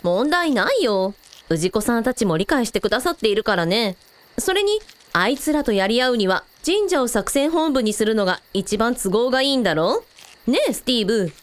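A woman's voice: fairly high pitch, big pitch swings, fairly quick. Woman playing a lilting, easygoing shrine maiden. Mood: calm, reassuring. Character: calm, supportive older-sister shrine maiden. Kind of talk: radio drama acting.

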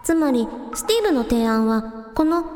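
A woman as a high-pitched, determined village idol. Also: very animated, moderate pace, a little mellow. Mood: curious, decisive. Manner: casual, decisive, questioning. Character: young village idol heroine, determined and plain-spoken. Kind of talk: radio drama acting. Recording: echoey hall reverb, faint music behind.

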